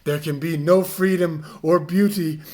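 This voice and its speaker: A man giving a mock-dramatic reading, with overwrought theatrical delivery that swings between hushed and loud. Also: fairly high pitch, fairly even intonation, unhurried.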